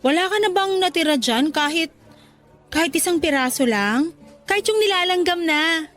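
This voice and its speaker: A woman's voice: extremely high pitch, animated, moderate pace, a bit bright, faint music behind. A woman playing a sweet, teasing young worker being courted in a Tagalog radio romance, with a coy, coaxing way of talking. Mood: playful teasing.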